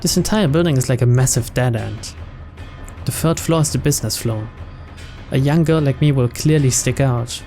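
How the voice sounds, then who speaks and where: A man's voice: mid-range voice, normal speed, mellow, faint music behind. A man playing through a visual novel, reading its narration aloud in English as a storyteller. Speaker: video game let's player.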